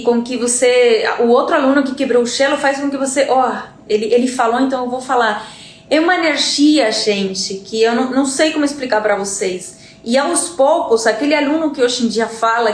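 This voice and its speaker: Uruguayan woman, native Spanish speaker, higher voice, earnest live lesson, echoey room. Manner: earnest, repeats words, checks in with "entende?". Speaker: Spanish teacher. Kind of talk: live-stream lesson talk.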